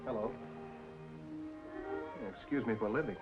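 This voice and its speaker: An actor playing a broke, cynical drifter in a film noir, with clipped, offhand lines. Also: male voice, mid-range voice, fairly even intonation, measured pace, natural voice. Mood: curt. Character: broke, curt, cynical male drifter new in town. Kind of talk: mid-century film noir dialogue acting. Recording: tinny narrow old soundtrack, music behind.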